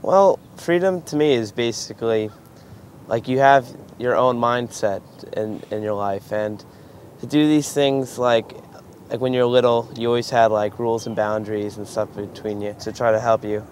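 A man's voice: mid-range voice, normal speed, darkish tone, slight background noise. A teenage boy answering interview questions outdoors on a sports field, talking loosely with lots of fillers. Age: teens. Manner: rambling, many fillers. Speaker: spotlight guest. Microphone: lapel.

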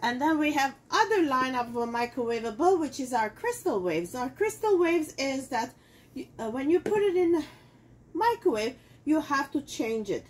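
A woman's voice: high-pitched, sing-song, normal speed. A middle-aged woman, a native Armenian speaker, chatting casually through a cooking demo in her home kitchen, with loose phrasing and many fillers. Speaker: cooking show host selling kitchenware.

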